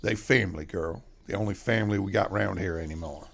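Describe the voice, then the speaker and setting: A man's voice: low-pitched, a little fast, gravelly. A man playing a folksy, plain-spoken backwoods moonshiner father with a rural Southern US accent in a radio drama. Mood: guarded, firm.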